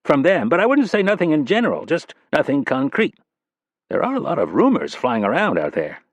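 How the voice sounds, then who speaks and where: Joking ex-marine desk boss, voiced by the audiobook reader, brighter and louder than the narration, ribbing and animated. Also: male voice, a little high, brisk, no echo, voice pushed up, heavily acted. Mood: teasing. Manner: jokey, teasing smartass. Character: wisecracking middle-aged male spy boss, ex-Marine.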